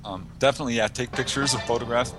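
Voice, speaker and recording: Man, medium pitch, interview guest, informal explanatory storytelling, conversational. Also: racing, background noise. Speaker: guest, UFO researcher.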